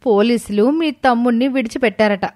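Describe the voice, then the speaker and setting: A woman voicing a gossipy, stingy, selfish young wife in an animated story. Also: a little high, a little fast, pitched above the performer's own voice, natural voice.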